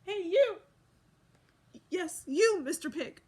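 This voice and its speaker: A woman's voice: very high voice, expressive, slow, warm tone, pitched above the performer's own voice, exaggerated voice. A woman voicing an aggrieved, melodramatic male toothbrush in a children's storybook. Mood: taunting. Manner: sobbing, melodramatic complaining. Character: whiny, indignant male toothbrush, aggrieved and dramatic. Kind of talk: children's storybook read-aloud.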